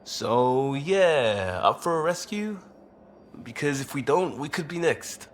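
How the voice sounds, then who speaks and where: A man playing an impulsive, earnest crewman in a video game, speaking in a halting, emphatic way. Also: medium pitch, animated, a little hiss or hum. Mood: anxious. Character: impulsive crewman, earnest and defensive about blunders.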